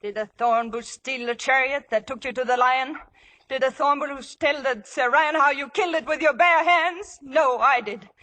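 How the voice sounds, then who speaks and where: An actress in a 1950s radio drama playing a fiery, scheming young temptress with intense, theatrical delivery. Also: female voice, high voice, moderate pace, pushed and bright. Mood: fierce, passionate. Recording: old radio broadcast with orchestral music.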